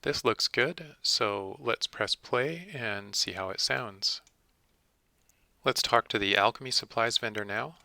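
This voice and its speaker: Bright, gravelly man narrating a software tutorial. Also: mid-range voice, takes it slowly, no room sound. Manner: methodical, explanatory. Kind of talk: step-by-step screen tutorial narration.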